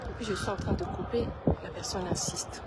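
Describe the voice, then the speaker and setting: A woman's voice: medium pitch, fairly even intonation, brisk, very noisy. A woman, sick with a cold, chatting straight to her followers on a livestream. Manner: chatty, talks straight to viewers. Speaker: page host addressing followers. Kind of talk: casual phone livestream chat.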